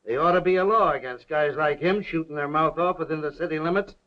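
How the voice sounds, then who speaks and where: An actor plays a disgruntled American tough with a fairly high male voice. He grumbles in slangy 1930s film style over a dull, aged soundtrack. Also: fast, natural voice. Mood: irritated. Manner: slangy, complaining.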